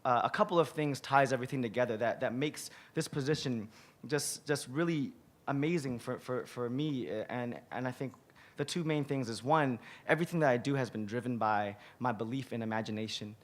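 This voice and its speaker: A man in his thirties with a hoarse, raspy voice speaks on a conference panel, his tone relaxed and chatty. He restarts often and repeats words, speaking into a table mic in a big hall. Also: mid-range voice, a little fast. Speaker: museum curator, panelist. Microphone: table mic on a stand.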